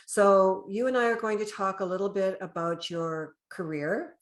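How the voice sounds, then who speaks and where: A woman's voice: medium pitch, fairly even intonation, normal speed. A woman with a Canadian accent, speaking as the host of a podcast interview.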